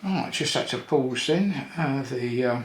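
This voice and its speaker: An older man talks through a hands-on repair job in a casual, unhurried way, often pausing to think aloud. His mid-pitched voice carries noticeable room echo. There is faint noise behind him. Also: animated. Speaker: electronics repair presenter. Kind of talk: casual hands-on tutorial narration.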